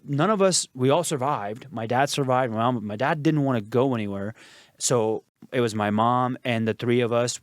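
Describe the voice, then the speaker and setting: A man in his thirties from Afghanistan, a podcast guest telling his life story in a relaxed way, repeating phrases and fillers. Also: medium pitch, no echo, clean studio sound. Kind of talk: casual storytelling interview. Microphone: podcast studio mic.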